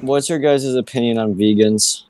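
A man's voice: mid-range voice, normal speed, a little mellow, dry recording. A young man chatting casually on a podcast, bringing up new topics. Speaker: podcast co-host. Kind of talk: casual chat.

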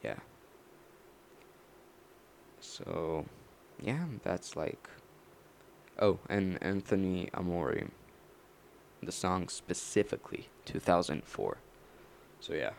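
A young man rambling on his own late at night, mumbling slowly and halting often with fillers. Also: deep voice, animated, gravelly, a little hiss or hum.

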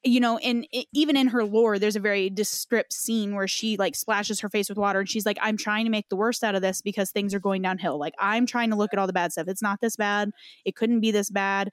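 A woman's voice: medium pitch, steady tone, brisk, no room sound, studio quality. A woman hosting a casual, informal chat about game lore, speaking with enthusiasm and emphasis. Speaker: podcast host.

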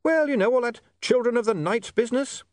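A well-meaning young newspaperman, voiced by a male narrator, awkward and quick to justify himself. The voice sits raised and moves about in pitch, flustered, in an audiobook style. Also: big pitch swings, no echo. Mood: exasperated, loud. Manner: flustered, stammering, self-justifying. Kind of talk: audiobook character voice.

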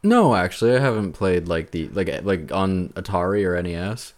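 A man's voice: low-pitched, animated, moderate pace, darkish tone. A man co-hosting a podcast in casual banter about video games. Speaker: podcast co-host.

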